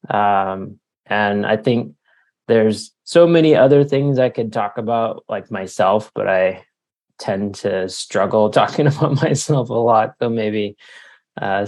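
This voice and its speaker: A man's voice: fairly low pitch, steady tone, unhurried, vocal fry, a little mellow. A middle-aged man giving reflective, somewhat hesitant answers as an author and leadership coach being interviewed.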